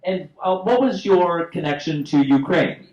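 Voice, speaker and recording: A male moderator asks a film director measured questions during a post-screening Q&A, with some fillers and throat-clearing. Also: a little high, expressive, a little mellow, lo-fi sound. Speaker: host, moderator. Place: theater stage after a screening. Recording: roomy theater sound.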